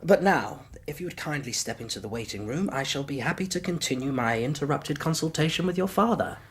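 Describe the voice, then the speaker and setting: An actor in a period radio drama playing an earnest, anxious British doctor, formal, conciliatory and precise. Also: male voice, a little high, lively intonation, fairly quick, husky. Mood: polite. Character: earnest, anxious, well-mannered Victorian male doctor.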